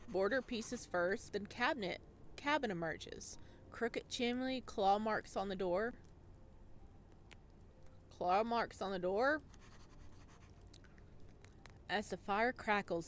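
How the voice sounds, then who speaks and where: A woman's voice: mid-range voice, lively intonation, slow, long pauses, fairly bright tone, a little hiss or hum. A woman playing a visual novel, reading it aloud and chatting with reactions as she goes. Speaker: video game streamer.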